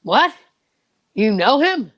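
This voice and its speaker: A woman's voice: a little high, big pitch swings, slow, a bit bright, full character voice. A woman playing a curt, suspicious street police officer in an old-time detective radio drama. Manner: curt, plain-spoken.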